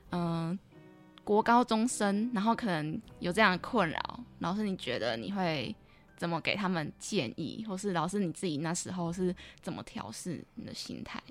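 Lively-pitched Taiwanese woman hosting an interview. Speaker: podcast host, interviewer. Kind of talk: casual conversational interviewing.

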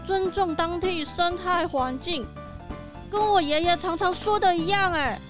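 Very high-pitched little puppy Wanda. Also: female voice, a little slow, over music. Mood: curious. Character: earnest little puppy, innocent grandchild innkeeper Wanda. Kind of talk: children's audio drama acting.

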